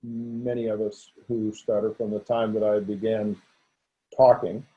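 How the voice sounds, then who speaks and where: Man in his 60s, stuttering speech, very dark mellow tone, muffled lo-fi sound. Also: lowish voice, little pitch movement, a little slow. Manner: stutters, with frequent repetitions and restarts. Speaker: guest, veterinarian. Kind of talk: conversational interview. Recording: dull lo-fi audio.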